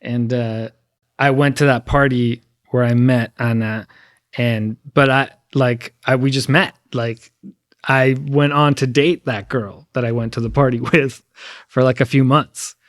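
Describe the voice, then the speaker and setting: A man's voice: medium pitch, fairly even intonation, unhurried, dry recording, studio quality. A man from Mexico who grew up there and in the States, a podcast guest telling stories in a playful, bursty way. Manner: playful storyteller, talks in bursts. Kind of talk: casual conversation.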